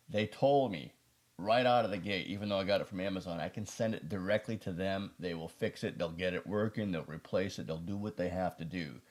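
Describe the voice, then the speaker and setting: Casual male gear reviewer, low-pitched with a creaky edge, folksy and unscripted. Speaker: product reviewer.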